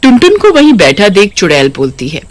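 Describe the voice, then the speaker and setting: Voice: mid-range voice, huge pitch swings, brisk. A narrator reading a scripted cartoon story.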